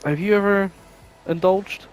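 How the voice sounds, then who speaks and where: A man's voice: high voice, steady tone, unhurried, soft background music, low-quality recording. A man co-hosting a podcast, chatting casually and informally. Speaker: podcast co-host.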